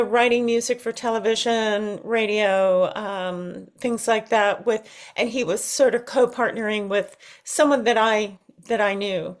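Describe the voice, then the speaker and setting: Slow-speaking American woman, higher-pitched voice. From United States. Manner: thoughtful, halting, searching for words. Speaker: interview guest, singer. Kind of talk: reflective interview conversation.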